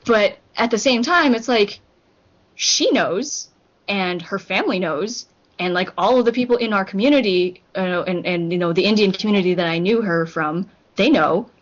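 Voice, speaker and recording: A woman's voice: mid-range voice, normal speed. A young woman from Hong Kong chatting casually on a podcast, rambling with lots of fillers and restarts. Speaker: podcast co-host. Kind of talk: casual group chat. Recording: muffled lo-fi recording.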